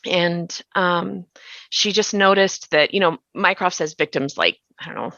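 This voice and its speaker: A woman's voice: lowish voice, unhurried, creaky, bright, forceful tone, no echo. A woman hosts a podcast, interviewing in a casual, discursive way full of conversational asides. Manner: discursive, peppers speech with "you know". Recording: dull, lo-fi dry audio.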